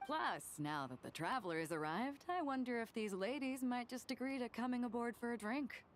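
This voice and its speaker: A woman's voice: a little high, animated, brisk, a little hiss or hum, close to an everyday voice. A woman voicing a bold, sociable female sea captain in a video game, breezy and confident.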